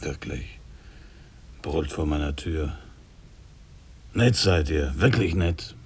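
An actor playing an ailing, arrogant giant sailor in a radio drama, slow, reproachful and full of sarcastic self-pity. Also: male voice, rumbling low, slight background noise, heavily acted. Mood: bitter sarcasm.

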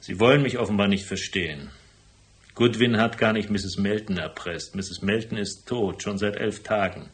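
An actor playing a shrewd, unflappable private detective in a radio crime drama, speaking in a dry, deliberate way. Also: male voice, lowish voice, lightly acted. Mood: pressing, blunt. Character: cool, laconic hardboiled male private detective, shrewd and unflappable. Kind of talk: German radio crime drama acting with first-person narration.

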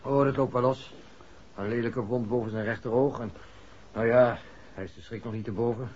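Casting: actor for calm, easygoing doctor in a 1960s radio play, mid-range voice, dull old recording. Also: male voice, medium pitch, moderate pace, slight background noise, close to an everyday voice. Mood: reassuring. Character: unruffled, reassuring male doctor. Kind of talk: 1960s Dutch radio drama acting.

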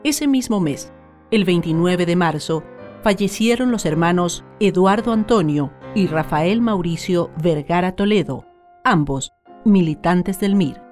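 Faint music plays under a Chilean woman narrator with a fairly low voice. She reads a scripted radio documentary in a formal, solemn way. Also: a little slow, a little mellow.

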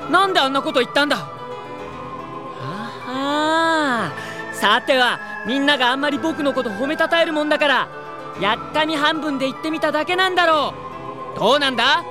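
A man's voice: very high-pitched, brisk, music in the background. A man playing a proud, hot-headed young idol singer in an audio drama, earnest and theatrical, also narrating his own story. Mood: agitated, demanding. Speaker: lead character and narrator. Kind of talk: anime audio drama acting with first-person narration.

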